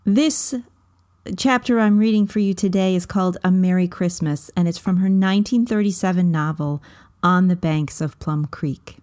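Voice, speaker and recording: A woman reading a children's story aloud as scripted narration, in measured, deliberate phrases with frequent pauses. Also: lowish voice, a little mellow.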